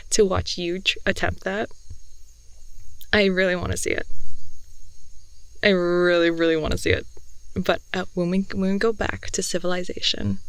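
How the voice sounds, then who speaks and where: A woman acts out a young, affectionate girlfriend in an intimate ASMR roleplay. Her voice is soft and fry-laden, recorded very dry and close. She rambles playfully at an unhurried pace, dropping now and then into a breathy murmur. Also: mid-range voice, dry recording, natural voice. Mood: chatty, eager. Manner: rambling, giggly, with doting asides. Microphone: close headphone-oriented ASMR microphone.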